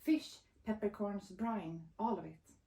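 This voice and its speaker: Woman, soft dark tone, storybook narrator, expressive, roomy echo. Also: medium pitch, takes it slowly, vocal fry. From Sweden. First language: Swedish. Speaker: author reading her picture book.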